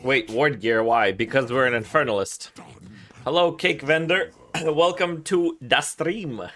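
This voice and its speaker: A man's voice: a little high, a little slow, bright, forceful tone. A man from Russia hosting a livestream, chatting casually while he plays, reading chat aloud, swearing and laughing often. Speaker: streamer host.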